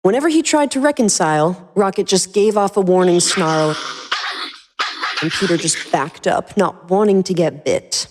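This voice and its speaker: A woman hosting and narrating a fan fiction podcast with animated, scripted storytelling. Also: fairly low pitch, normal speed, a little hiss or hum.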